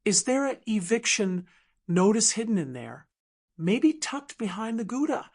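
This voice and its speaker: A woman voicing a stubborn, wounded woman in her sixties in an audiobook drama, sharp, sardonic and blunt. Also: medium pitch, lively intonation, unhurried. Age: 60s. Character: stubborn, wounded older small-town woman, bitter and sardonic.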